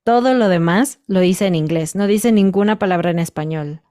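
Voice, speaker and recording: A woman in her thirties with a Mexican Spanish accent, a guest chatting thoughtfully in an interview. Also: on the low side. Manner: thoughtful, measured, with soft laughs. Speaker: podcast guest, Spanish teacher. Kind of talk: casual interview chat.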